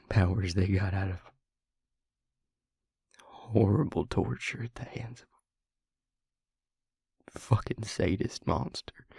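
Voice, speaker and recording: Male actor as a guilt-ridden vampire, low gravelly voice, near-whisper, halting, confiding, intimate audio roleplay. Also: a little mellow, no room sound, exaggerated voice. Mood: distressed, bitter.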